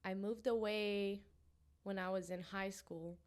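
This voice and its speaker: Casual young woman from Romania. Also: medium pitch. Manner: relaxed, halting, with many ums and restarts. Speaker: pickleball player and coach, guest. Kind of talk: casual interview answers.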